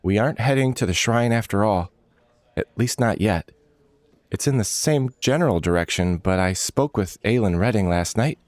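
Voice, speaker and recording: A man playing a steady, serious male leader of an adventuring band in a fantasy story. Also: moderate pace, no room sound, lightly acted. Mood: steady, businesslike. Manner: low-key, steady, serious.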